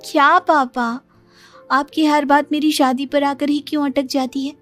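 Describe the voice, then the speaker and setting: A woman voicing a dutiful, soft-hearted young daughter and bride in a moral story. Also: high-pitched, level tone, unhurried, pitched above the performer's own voice. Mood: pleading, affectionate. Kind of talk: moral story character dialogue. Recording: music behind the voice.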